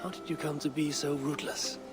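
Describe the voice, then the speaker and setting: An actor playing a stoic but warm-hearted Viking clan warrior in a historical game, gravelly and measured. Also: male voice, a little high, fairly even intonation, music in the background, close to an everyday voice. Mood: sincere, reflective. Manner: measured, solemn, plain-spoken.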